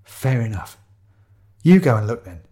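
Audio story role, male reader: argumentative, jumpy sidekick, wry everyday speech, lively intonation. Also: mid-range voice, measured pace. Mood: grudging, wry. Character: jumpy, argumentative narrator hero, Shiloh's sidekick.

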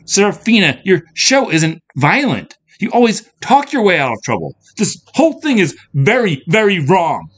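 A man voicing a glib, excitable young woman, a reluctant storybook heroine with casual modern speech. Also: mid-range voice, slow, pitched above the performer's own voice, heavily acted. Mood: distressed.

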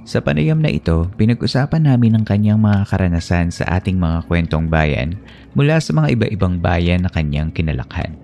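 Deep gravelly man, measured podcast intro. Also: expressive, dark tone, soft background music. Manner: slow, measured and formal. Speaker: podcast host.

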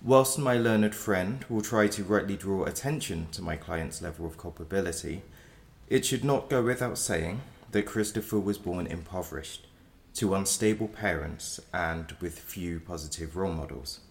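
Defence lawyer for a male actor: very low, creaky voice, measured, cautious, earnest. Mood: solemn, pleading. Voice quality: deep, gravelly. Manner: formal, measured advocacy. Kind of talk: audio drama acting.

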